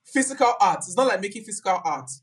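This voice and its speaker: A woman hosting a casual chat, animated, laughing and restarting her sentences often. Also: mid-range voice, fast talker. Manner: animated, laughs, talks with many fillers and restarts. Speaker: podcast host.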